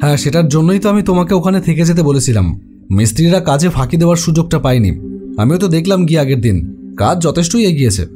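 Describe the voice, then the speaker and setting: An actor voicing an assured, considerate boss who also narrates a horror cartoon. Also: male voice, on the high side, animated, quick pace, over music, lightly acted. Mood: curious, coaxing. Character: male house buyer and narrator, brisk, authoritative, considerate boss.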